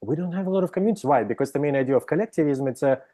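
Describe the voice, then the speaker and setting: A man from Russia with a Russian accent, speaking as a psychologist guest in an interview, explaining with many pauses, restarts and "right?" checks. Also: on the high side, animated, warm tone. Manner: hesitant, frequent "right?" tags and restarts. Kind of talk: interview conversation.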